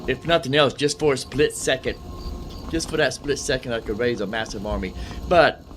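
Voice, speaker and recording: A man's voice: mid-range voice, moderate pace, faint music behind. A man giving casual, chatty let's play commentary, thinking aloud as he goes. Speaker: gaming channel host. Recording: clear background noise.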